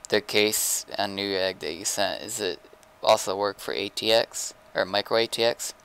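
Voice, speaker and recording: A man chatting casually while gaming, low-key and informal, sometimes half talking to himself. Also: fairly even intonation, moderate pace, a bit bright, clear, resonant tone. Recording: faintly noisy gaming mic.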